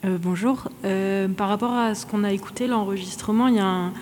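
A woman's voice: medium pitch, steady tone, a little mellow. Woman asking from audience, echoing hall. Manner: searching for words, slow. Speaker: audience member. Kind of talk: asking a question. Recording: long hall reverb, faint noise.

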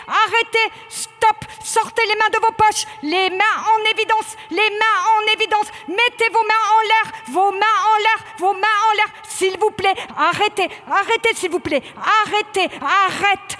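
A woman voicing an aggressive male police officer, shouting repeated commands in a live stage performance. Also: extremely high pitch, heavily acted. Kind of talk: stage spoken-word performance.

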